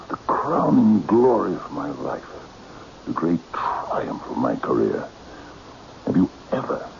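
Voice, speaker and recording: An actor playing an imperious, vain magician in a radio mystery, theatrical and domineering, sinking into intense whispers. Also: male voice, low-pitched, vocal fry, a little mellow, heavily acted. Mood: grandiose. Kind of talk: radio mystery drama acting. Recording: dull, noisy old radio recording.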